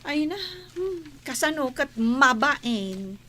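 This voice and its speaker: Woman as complaining village sister-in-law, Ilocano accent, high voice, gossipy, comedy radio play. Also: lively intonation, takes it slowly, bright, forceful tone, full character voice. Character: aggrieved, gossipy village sister-in-law, protective of her brother.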